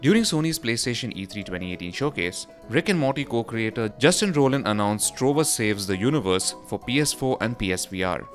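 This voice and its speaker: A man's voice: mid-range voice, animated, brisk, hardly any pauses. A man reading a scripted voice-over for a gaming news roundup, in the role of presenter. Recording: music behind the voice. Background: background music.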